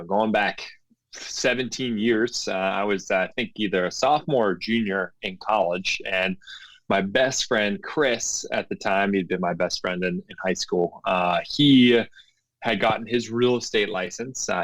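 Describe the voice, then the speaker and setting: A man's voice: lowish voice, a little slow, no echo, rough recording. A man in his thirties, a podcast guest, answering casually with many fillers. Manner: relaxed, hesitant with many fillers. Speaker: guest, real estate investor and coach.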